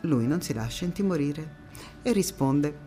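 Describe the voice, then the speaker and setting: A woman's voice: sits low, lively intonation, mellow, soft background music. An actress as a proud, defiant partisan woman storyteller. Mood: indignant, suspenseful. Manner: dramatic, measured phrasing with deliberate pauses. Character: proud, defiant Italian anti-fascist partisan woman recalling her youth. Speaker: stage actress in a one-woman monologue. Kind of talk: theatrical first-person storytelling monologue.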